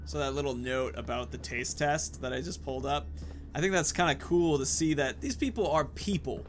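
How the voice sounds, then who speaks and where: A man with a slightly high voice records casual gameplay commentary over audible noise and game ambience. He muses aloud to himself and drops in joking asides. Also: moderate pace. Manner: musing aloud, joking asides, reads notes aloud. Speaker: let's play host.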